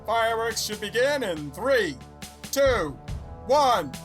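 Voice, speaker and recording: Audio drama squad commander, acted: vain, grandiose, used to giving orders, mid-pitched, music underneath. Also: sing-song, slow. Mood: urgent, commanding. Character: pompous, theatrical military squad commander. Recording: music behind the voice.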